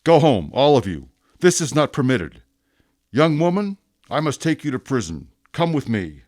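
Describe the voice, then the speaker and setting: An actor playing a stern, relentless police inspector in an audio drama, cold and commanding. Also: male voice, medium pitch, sing-song, normal speed, a little mellow, dry recording, studio quality, natural voice. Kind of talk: radio drama acting.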